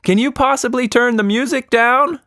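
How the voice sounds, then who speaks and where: A man's voice: very high-pitched, normal speed, pushed and bright, no echo, studio quality, voice pushed up. A man voicing an earnest, polite young girl adventurer in a children's story. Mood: loud, curious.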